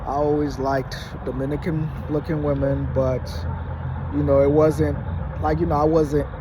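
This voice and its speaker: A man's voice: fairly high pitch, level tone, measured pace. Rambling Haitian-born man in his late twenties. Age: late 20s. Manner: rambling, hesitant, many fillers and restarts. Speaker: vlogger. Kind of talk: casual vlog talk. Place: parking lot at night, outdoors. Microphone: phone propped against a wall. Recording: noisy outdoor phone recording. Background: traffic and car noise.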